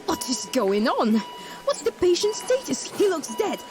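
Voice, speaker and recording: A woman's voice: extremely high pitch, very animated, a little slow, full character voice. An actress playing a callous female doctor who speaks curtly and with detachment. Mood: curt cold. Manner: curt, detached.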